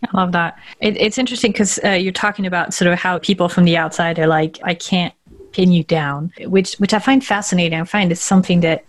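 A woman's voice: lowish voice, fairly even intonation, a little fast. French woman, podcast host, light accent that sounds mostly North American, curious. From France. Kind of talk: casual interview chat.

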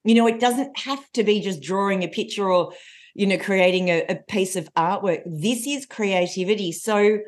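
A woman, a coach telling stories in a podcast interview with a loud, lively voice. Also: medium pitch, normal speed. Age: 40s. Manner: lively storyteller. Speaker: coach and trainer.

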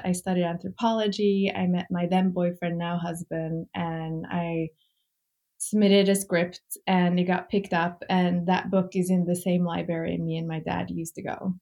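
A woman's voice: lowish voice, moderate pace. Laughing woman raised in Norway. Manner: warm, laughs often, quotes family in lively voices. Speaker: guest author. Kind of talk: casual conversation.

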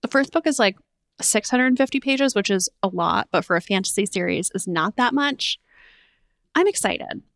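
An eager woman hosts a book podcast from a temporary room while traveling. She talks in quick run-on sentences at a middle pitch. Her voice sounds close and dry. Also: lively intonation, gravelly, a bit bright, no echo. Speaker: podcast host. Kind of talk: casual book chat.

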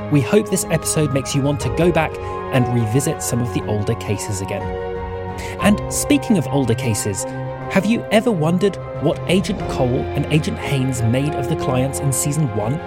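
A man reading scripted end credits and announcements for a fiction podcast. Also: fairly high pitch. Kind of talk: scripted narration. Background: loud music.

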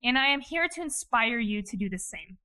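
A woman in her thirties from Ohio, hosting a podcast and telling her life story in a lively, upbeat way with many "you know"s and "like"s. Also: a little high, moderate pace. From Cleveland, Ohio, USA. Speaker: podcast host. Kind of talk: personal storytelling monologue.

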